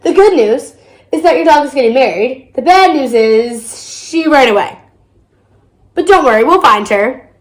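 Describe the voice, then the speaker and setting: Female reader playing young storybook girl, high voice, eager, bossy, exclaiming. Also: expressive, normal speed. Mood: persuading.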